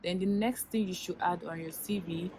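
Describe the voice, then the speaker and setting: A woman's voice: lowish voice, fairly even intonation, normal speed, noisy background. A Nigerian woman in her twenties, a Yoruba speaker, giving earnest, chatty advice in a vlog with many fillers and restarts. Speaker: YouTuber giving application advice.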